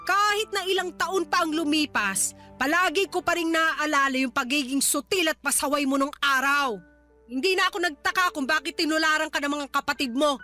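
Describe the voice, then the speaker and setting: A stern Filipino grandmother for radio drama. Her voice is high and piercing, with a scolding, dismissive manner. Faint music plays underneath. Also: fairly quick, pushed and bright. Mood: exasperated scolding. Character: stern, short-tempered grandmother scolding her grown daughter.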